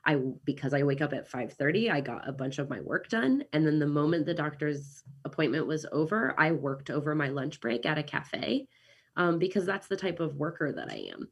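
Husky low-voiced American woman, upbeat. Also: brisk. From Kansas City, USA. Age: 30s. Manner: upbeat, animated, chatty with many restarts. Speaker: podcast guest. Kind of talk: casual interview chat.

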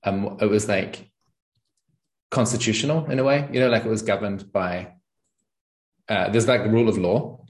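A young man, talking casually and thoughtfully as an interview guest, often pausing with ums and small laughs. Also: on the low side. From New Zealand. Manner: thoughtful, searching for words, frequent ums and laughs. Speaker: podcast guest and channel host.

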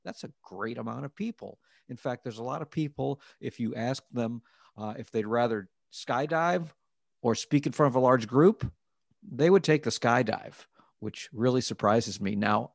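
A man's voice: medium pitch, normal speed, vocal fry, dry recording. A man hosting a podcast interview, talking in an upbeat, conversational way. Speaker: podcast host.

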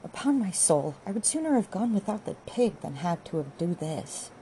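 A woman's voice: fairly low pitch, big pitch swings, normal speed, warm tone, a little hiss or hum, voice pushed up, low-quality recording. A woman voicing a tender-hearted young countryman, earnest and pained, in an audiobook. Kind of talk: audiobook character dialogue.

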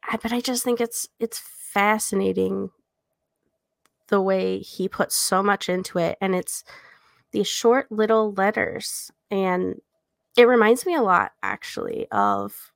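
A woman's voice: on the low side, creaky, fairly bright tone, dry recording, studio quality. A woman co-hosting a podcast, chatting informally and enthusiastically about books. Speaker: podcast co-host, book editor. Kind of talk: casual chat about books.

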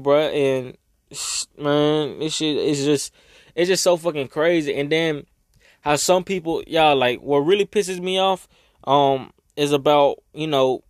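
A young man talking casually and emotionally to his viewers, rambling and swearing, his voice raspy from crying. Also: a little high, no echo, clean studio sound. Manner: rambling, swearing, heartfelt, Southern US slang. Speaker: vlogger. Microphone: own microphone.

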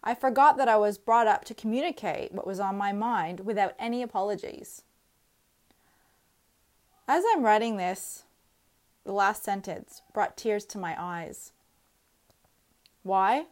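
A woman in her thirties reads a personal essay aloud. Her delivery is earnest and reflective, with measured pacing, and her voice sits at a middle pitch. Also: a little mellow. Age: 30s.

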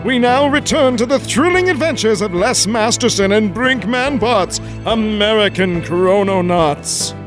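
A man's voice: sits high, lively intonation, measured pace, hardly any pauses, over music, rough recording. A man voicing a grandiose, dramatic announcer of a 1940s adventure radio serial.

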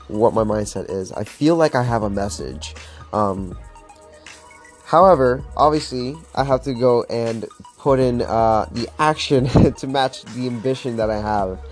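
A man's voice: mid-range voice, lively intonation, a little slow, darkish tone, faint music behind. A man in his twenties from Florida, talking as a podcast host in a casual, motivational monologue, earnest and upbeat, with many fillers like "like" and "um". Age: 20s.

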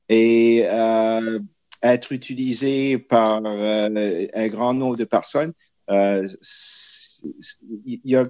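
A man, a panel guest speaking haltingly with many fillers. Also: medium pitch, a little mellow, dry recording, highs cut off.

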